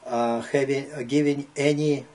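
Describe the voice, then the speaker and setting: A man in his seventies from Poland with an Eastern European accent, giving personal testimony in halting, broken English phrases with frequent pauses. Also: medium pitch, steady tone, darkish tone. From Poland (now Belarus). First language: not English. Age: 70s. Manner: halting, pausing often, in short broken phrases. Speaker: Holocaust survivor giving testimony. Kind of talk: personal oral history testimony.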